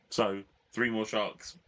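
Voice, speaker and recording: British guy, hoarse from losing his voice, bright edgy tone, halting and jokey. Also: on the low side, little pitch movement. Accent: British English. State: hoarse, recovering from lost voice. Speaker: podcast co-host. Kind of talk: casual hobby chat.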